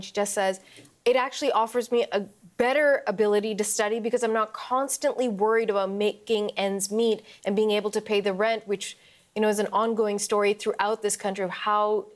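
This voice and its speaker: A woman news anchor questions her guest in a measured, steady way. Her voice is at an ordinary middle pitch for a woman, clear and composed. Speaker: news interviewer.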